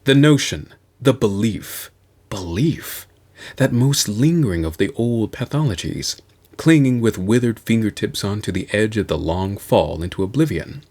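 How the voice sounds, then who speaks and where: A man reading a science fiction novel aloud as host, with a theatrical, deliberate delivery. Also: fairly low pitch, expressive, a little mellow.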